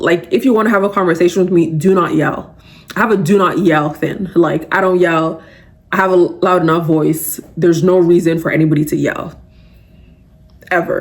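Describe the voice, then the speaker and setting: A young adult woman hosting a podcast, giving a casual, emphatic motivational talk full of fillers. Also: lowish voice. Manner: animated, emphatic, conversational with many fillers. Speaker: podcast host.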